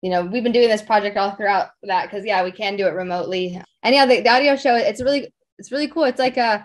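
A woman speaks as a guest in a casual interview. Her voice sits at a medium pitch. She talks effusively, with loose, conversational phrasing. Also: quick pace. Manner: effusive, peppers speech with like and you know.

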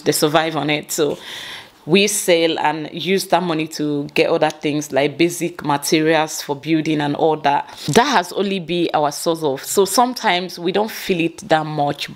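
Low-voiced impassioned Nigerian woman. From Niger Delta, Nigeria. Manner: impassioned, pleading, uses Nigerian Pidgin phrases. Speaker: vlogger. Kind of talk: opinion vlog to camera. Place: small indoor room. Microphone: clip-on lapel mic.

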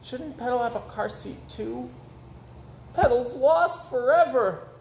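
A little mouse girl from a storybook, voiced by a man, frets in anxious questions. The pitch is lifted high and lilting, and the room is echoey with some noise. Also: animated, slow, gravelly, heavily acted. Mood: worried, fretful. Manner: fretful, questioning. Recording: roomy echo, some background noise.

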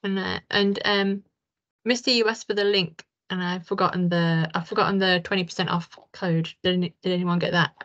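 A woman's voice: on the low side, fairly even intonation, brisk, a bit bright. A woman hosting an online event, moderating questions in a casual, informal way. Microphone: computer video call. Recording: dull, lo-fi video call audio.

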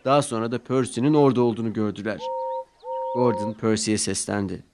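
A man narrating a children's cartoon story in a lively storytelling manner. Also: fairly low pitch, fast, noisy background.

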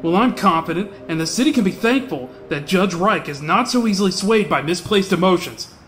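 A man's voice: high-pitched, expressive, brisk, a bit bright, soft background music. A man playing a pompous, indignant mayor who orates like a grandstanding politician in a comic-book audio drama. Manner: oratorical.

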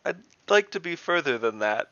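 A man's voice: on the high side, very animated, normal speed, pushed and bright, dry recording, highs cut off. A young man playing a tabletop game over a video call, chatting casually and hesitantly. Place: indoor room at home, on a video call.

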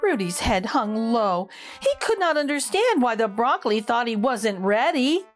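A woman reading a story aloud for young children in an expressive, emphatic way. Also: on the high side, moderate pace. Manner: animated, emphatic.

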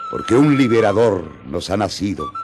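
Deep, highly expressive male radio narrator. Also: normal speed. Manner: solemn, storytelling. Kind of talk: dramatic scripted radio narration. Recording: music under the narration.

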